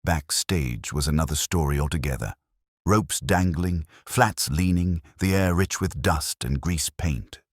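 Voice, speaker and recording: A man's voice: extremely low pitch, a little slow, no echo, clean studio sound. A man reading a scripted first-person detective story in a wry, hardboiled storytelling manner. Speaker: audiobook narrator.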